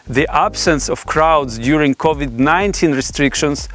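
A man's voice: fairly high pitch, moderate pace. A man in his forties gives a scripted explainer in a formal, academic manner, speaking as a presenter. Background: background music.

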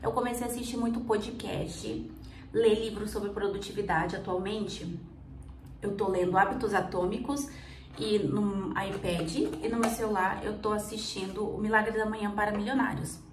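A woman's voice: medium pitch, normal speed. A woman in her twenties with a cold, chatting casually to her viewers while she does her makeup, rambling and asking herself questions. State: has a cold. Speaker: vlogger. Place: small indoor room. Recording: roomy, slightly distant mic, faint hum.

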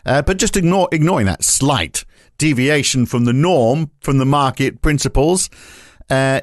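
A man's voice: medium pitch, no echo, clean studio sound. A man hosting a business podcast, giving sardonic, conversational commentary before introducing his guest. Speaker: podcast host. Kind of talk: opinionated commentary and interview.

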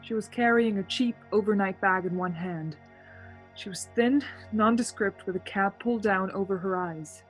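A woman's voice: mid-range voice, moderate pace, faint music behind, natural voice, low-quality recording. An actress playing a frightened young traveler who insists she is sane, in a suspense radio play, hesitating and repeating words. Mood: haunted.

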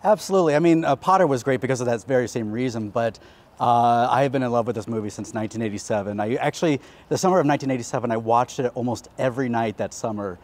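A man's voice: mid-range voice, fast talker, darkish tone. A middle-aged man chatting casually as an interview guest, with a joking, self-deprecating way of talking. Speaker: stage actor, interview guest. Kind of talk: casual chat. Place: large indoor hall decorated with Christmas trees. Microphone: lapel mic.

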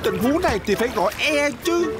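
A woman's voice: high-pitched, lively intonation, a little fast. A woman voicing a feisty, argumentative young boy bus in a cartoon dub. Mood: annoyed. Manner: brash, argumentative, exclaiming. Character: feisty, bickering boy bus, blaming and boastful. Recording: loud music behind the voice.

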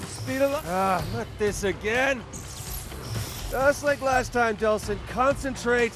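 Very high-pitched brash young American hero. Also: male voice, takes it slowly, music in the background. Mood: strained, alarmed. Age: young adult. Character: brash, impulsive young male superhero. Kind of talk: video game acting.

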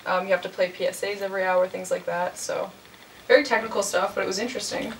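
A woman's voice: medium pitch, fairly even intonation, fast, slight background noise. Woman in her twenties, casual interview guest, informal, roomy sound, slightly distant mic. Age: 20s. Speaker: college radio station manager, interview guest. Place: indoor lounge with amps and instruments.

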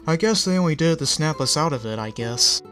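Give me an easygoing young pony boy with a mild, relaxed way of talking, close to a plain everyday voice, as a reader puts him on. Soft music sits behind him. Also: medium pitch, fast, lightly acted.

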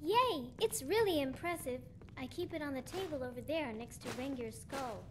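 A woman's voice: high-pitched, expressive, normal speed, background noise. An actress playing an eager, innocently morbid little girl in a video game, with a sweet childlike manner. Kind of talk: video game character acting.

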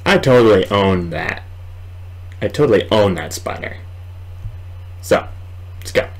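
Low-pitched young man, rambling gamer. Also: expressive, measured pace. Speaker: gaming video host. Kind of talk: casual let's play commentary. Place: small room at home, indoors.

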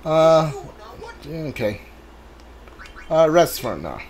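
Hesitant, higher-voiced male game streamer. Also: sing-song, extremely slow, darkish tone. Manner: hesitant, rambling, thinking aloud. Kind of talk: casual live playthrough commentary. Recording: faint background noise from game ambience. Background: video game ambience.

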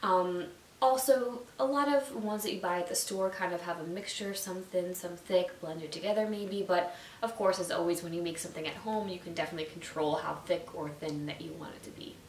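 A woman's voice: on the low side, brisk. A young woman with a slightly nasal, stuffy voice, chatting casually to camera about nutrition, full of "like" and "you know.". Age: young adult. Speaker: YouTube nutrition vlogger. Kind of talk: casual explainer talking to camera. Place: indoors at home, seated on a sofa. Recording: roomy echo, distant mic, faint hiss.

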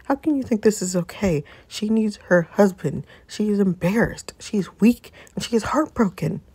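A woman's voice: mid-range voice, expressive, normal speed, warm tone, breathy edge, no room sound. A woman voicing a fierce, protective mother scolding her grown son, indignant and reproachful, in a dramatic story reading.

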